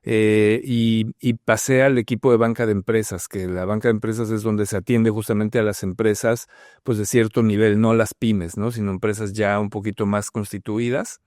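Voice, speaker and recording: A middle-aged Mexican man with a Mexican Spanish accent, a guest telling his story in a relaxed interview, pausing with "eh" and "pues". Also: lowish voice, no room sound, studio quality. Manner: thoughtful, many fillers like "eh" and "pues". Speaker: guest, bank HR manager.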